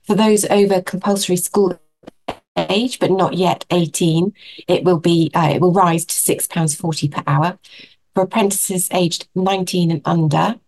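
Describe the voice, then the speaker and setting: A woman with an English accent and a croaky voice gives employment law updates in an online briefing over a video call. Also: lowish voice, a little mellow, rough recording. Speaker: practice support adviser. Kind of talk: online briefing to practice managers.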